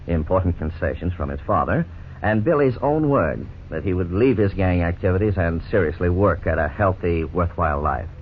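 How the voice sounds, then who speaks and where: A middle-aged man playing a lawyer who narrates and explains the case in a measured, formal way, in 1950s radio drama style. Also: low voice, lightly acted. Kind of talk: scripted narration. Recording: scratchy 1950s radio broadcast, tinny, faint hiss.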